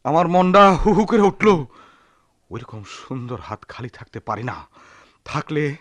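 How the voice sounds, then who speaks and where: Feverish, impulsive village man, rather high voice. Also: big pitch swings, measured pace, a bit bright, no room sound, full character voice. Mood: dreamy. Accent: rural Bangladeshi. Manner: impassioned, pleading, repeats himself. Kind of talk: Bengali radio drama acting.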